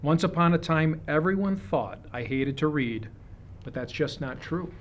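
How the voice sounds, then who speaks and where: Higher-voiced man reading a children's storybook. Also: animated, moderate pace, dull audio, a little hiss or hum. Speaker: storybook reader. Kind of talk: read-aloud of a children's picture book.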